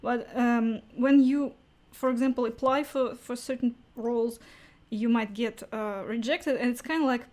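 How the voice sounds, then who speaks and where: A woman's voice: a little high, normal speed, a little mellow. A woman hosting a casual interview, phrasing her questions in a tentative, hesitant and appreciative way.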